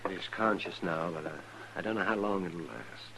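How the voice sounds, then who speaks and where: An American actor playing a sombre, consoling town doctor in an old radio western, gentle and measured. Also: male voice, deep voice, creaky, poor audio. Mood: hushed, grave. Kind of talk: old-time radio drama acting. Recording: faintly hissy old radio recording.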